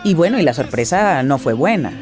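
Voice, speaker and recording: A woman's voice: low-pitched, expressive, a little fast, music in the background. A middle-aged woman from Venezuela who works as a voice actress, answering interview questions in a reflective way, often restarting and tagging her sentences with questions.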